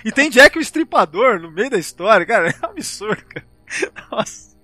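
A man's voice: high-pitched, big pitch swings, brisk, bright, forceful tone, no echo. A Brazilian man hosting a casual podcast chat, slangy and exclamatory in his way of talking. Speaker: podcast host.

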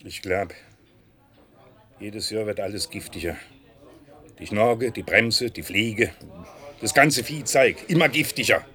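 A man's voice: fairly low pitch, slow pace, long pauses, bright, forceful tone. An actor playing a grumbling, combative rural man with a southern German accent and a biting tongue in a radio drama. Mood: bitter, grumbling.

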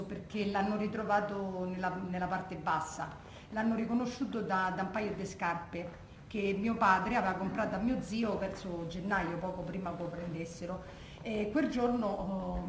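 Mid-pitched woman actress, bereaved daughter on stage; colloquial, halting, haunted testimony with roomy sound and faint noise. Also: close to an everyday voice. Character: plainspoken daughter of a massacre victim, haunted, aggrieved. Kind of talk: theatrical testimony monologue. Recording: echoey room with background noise.

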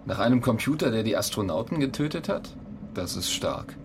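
Low, animated skeptical man played by an actor. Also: fairly quick, noisy background, lightly acted. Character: skeptical man questioning his computer. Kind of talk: radio drama dialogue.

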